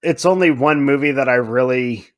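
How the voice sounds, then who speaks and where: A man's voice: medium pitch, normal speed. A man co-hosting a podcast in casual chat, informal and exclamatory, often putting himself down. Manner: self-deprecating, informal, exclamatory. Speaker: podcast co-host.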